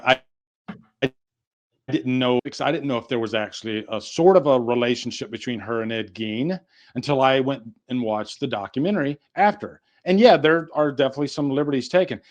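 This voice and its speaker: Man hosting a casual podcast chat. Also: mid-range voice. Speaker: podcast host.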